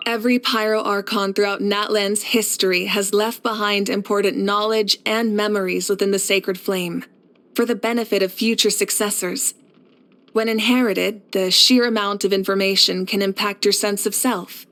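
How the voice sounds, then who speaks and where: A woman playing a confident, kindly fire archon and war leader in a video game, speaking in an assured, measured, encouraging way. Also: medium pitch, fairly even intonation, fairly bright tone, lightly acted. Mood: earnest, assured. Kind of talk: scripted video game character voice lines.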